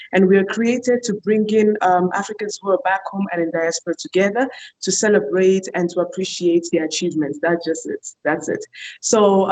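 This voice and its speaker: A woman's voice: medium pitch, darkish tone, low-quality recording. A woman hosting an online TV interview, chatty and enthusiastic, with frequent fillers. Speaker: host.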